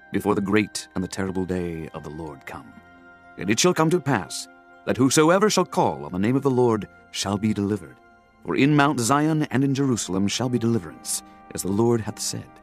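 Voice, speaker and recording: Male narrator, dramatized scripture reading, solemn and declamatory, measured phrasing, animated rise and fall, music underneath. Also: fairly low pitch. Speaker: audiobook narrator.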